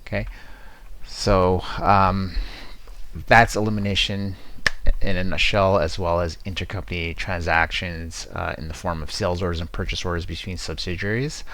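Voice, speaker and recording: A man giving an informal, explanatory screen-share tutorial as a software demo presenter, talking conversationally into a close mic. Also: deep voice, fairly even intonation, moderate pace, slight background noise. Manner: explanatory, conversational, checks in with "right?".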